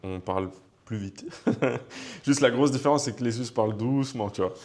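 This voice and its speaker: A man's voice: medium pitch, moderate pace. Joking young man from Savoie. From Savoie, France. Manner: relaxed, joking, many fillers. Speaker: host. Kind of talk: casual interview chat.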